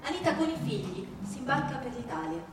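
Woman doing a stagey declamatory reading, kinda high voice, super echoey and far off. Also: very animated, a little fast, music in the background. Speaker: stage reader. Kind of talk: theatrical dramatic reading with music concert. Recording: very echoey, distant, clearly noisy live recording.

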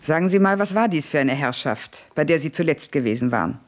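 A woman's voice: sits low, animated, a little fast. An actress playing a haughty, suspicious mistress hiring a maid in a German radio play, condescending and curt in her questioning. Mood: probing, haughty.

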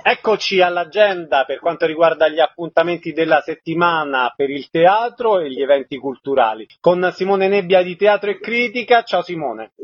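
A man's voice: on the high side, expressive, moderate pace, few pauses, highs cut off. A man hosting a radio programme, asking questions and presenting. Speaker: radio host.

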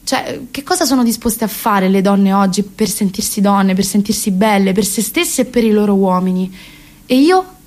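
A woman of about thirty with a Roman Italian accent performs a satirical monologue in an expressive, exasperated, ironic way. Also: mid-range voice, normal speed. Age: around 30. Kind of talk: theatrical satirical monologue on radio.

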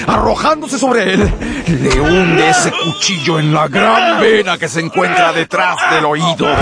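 A man narrating a radio drama in an intense, theatrical way with deliberate pauses. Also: fairly high pitch.

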